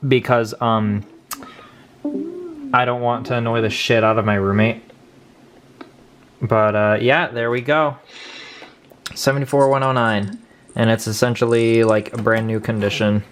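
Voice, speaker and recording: A man's voice: mid-range voice, steady tone, unhurried, pauses often, fairly bright tone. A young man casually hosting an unboxing video, informal and prone to swearing. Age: young adult. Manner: informal, crude-joking, swearing. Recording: noisy room recording with clicks.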